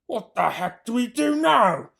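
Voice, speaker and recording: Storybook villain farmer, fat, furious, blustering, shouting, lively, voiced by a reader. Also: mid-range voice, measured pace, vocal fry, pitched above the performer's own voice, exaggerated voice. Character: fat, bullying male farmer, furious and loud.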